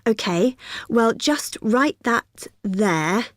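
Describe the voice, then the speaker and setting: An actress playing a friendly student union receptionist who explains a form patiently in a scripted exam dialogue. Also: female voice, a little high, animated, drawn-out words, no room sound, studio quality. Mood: helpful. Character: cheerful young female union helper.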